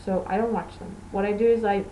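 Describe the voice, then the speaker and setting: Portuguese-born woman hosting a knitting podcast, mid-pitched voice, wanders and corrects herself as she talks. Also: fairly even intonation, fast talker, mellow, slight background noise, poor audio. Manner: rambling, self-correcting, conversational. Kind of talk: casual podcast chat.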